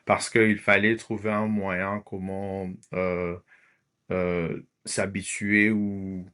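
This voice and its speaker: Hesitant Congolese man, lowish voice. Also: flat delivery, drawn-out words, a bit bright, clear, resonant tone. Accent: Congolese French. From Democratic Republic of the Congo. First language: Lingala. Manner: hesitant, many fillers, drops in English words. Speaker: podcast guest. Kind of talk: casual interview talk.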